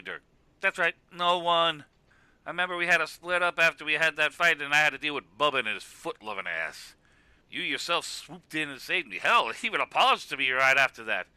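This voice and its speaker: A man's voice: on the high side, bright, forceful tone, clear, resonant tone, dry recording, full character voice. A man acting a heartfelt radio co-commentator in a web cartoon, speaking in an earnest, emphatic, speechifying way. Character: loud heartfelt radio co-commentator consoling his rival. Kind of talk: animated web cartoon dialogue.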